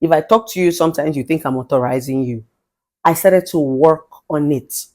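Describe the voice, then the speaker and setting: Nigerian relationship therapist, middle-aged woman, authoritative lower voice, preachy motivational delivery. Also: moderate pace. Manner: forceful, emphatic, mixes in Pidgin phrases. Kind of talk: motivational advice monologue.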